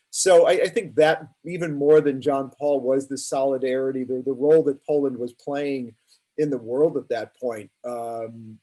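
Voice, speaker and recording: A man's voice: medium pitch, warm tone, slightly rough. A man in his sixties reminiscing conversationally as a panelist on a video call. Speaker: panelist author.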